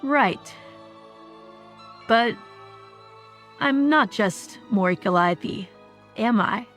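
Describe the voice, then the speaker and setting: A woman's voice: fairly high pitch, lively intonation, drawn-out words, a bit bright, soft background music, full character voice. A woman acting as a weary, defensive young female idol singer with a dry, terse manner in an audio drama.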